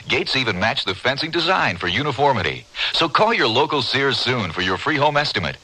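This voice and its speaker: A man delivers a scripted radio advertisement. His mid-range voice is very bright and sharp, with highly animated intonation. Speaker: advertising announcer. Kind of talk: scripted radio commercial narration.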